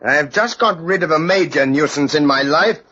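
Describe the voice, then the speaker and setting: An actor playing a pompous, menacing gentleman challenging a rival to a duel in an old radio drama, speaking formally. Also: on the high side, normal speed, breathy edge. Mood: cold menace. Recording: muffled, hissy old radio recording.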